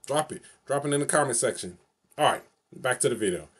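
A man's voice: mid-range voice, expressive, brisk, a bit bright, breathy edge. New guy in his 40s vlogging in his bedroom, repeats himself a lot, webcam mic. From New York City. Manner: repetitive, rambling, loops over the same phrases. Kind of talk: casual solo vlog to camera. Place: small bedroom, indoors.

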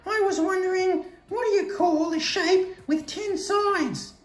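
A man's voice: extremely high pitch, a little slow, echoey room, soft background music, voice pushed up. A man voicing a cheeky toy animal sidekick who interrupts and mocks in a children's puppet show. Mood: curious. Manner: mocking, interrupting.

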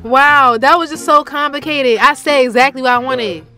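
A woman's voice: sits high, normal speed, bright, forceful tone. A young adult woman chatting casually with friends on a handheld vlog camera. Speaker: vlogger. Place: inside a vehicle and a convenience store at night. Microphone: handheld vlog camera at arm's length. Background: music.

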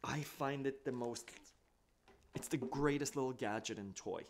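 A man in his thirties, an accordion teacher, explaining things step by step in a relaxed live-stream lesson from a small home music room. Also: mid-range voice, unhurried, a little hiss or hum. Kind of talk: casual live-stream demonstration. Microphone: studio mic on boom arm, wearing headphones. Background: accordion and looped music.

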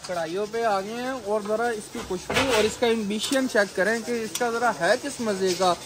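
A man's voice: high voice, normal speed. A man enthusiastically presenting food at an outdoor restaurant kitchen at night. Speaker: food vlogger co-host. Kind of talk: casual food vlog commentary. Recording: crowded restaurant with sizzling pans.

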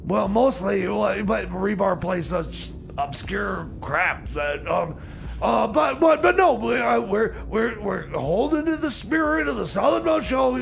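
A man's voice: sits high, lively intonation, unhurried, creaky, a bit bright, a little hiss or hum, full character voice. A man playing a blustery, stammering radio deejay who gets flustered and exasperated, in comedy radio banter. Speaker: radio host. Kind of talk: comedy radio theater, music show banter.

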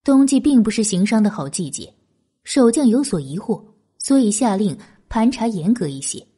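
Husky, expressive woman narrator. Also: mid-range voice, normal speed. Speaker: audiobook narrator. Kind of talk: scripted narration of a historical novel. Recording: background music. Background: music.

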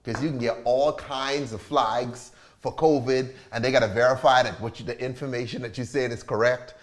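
A man's voice: mid-range voice, fairly even intonation, normal speed. A man with an American accent hosting a cocktail show, bantering in casual chat with jokes and cursing. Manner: joking, profane banter. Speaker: bartending show host.

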